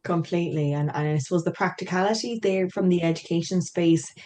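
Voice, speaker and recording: Low mellow-voiced woman interviewer. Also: brisk, slight background noise. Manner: long, hedging questions with repeated words. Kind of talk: conversational interview questions.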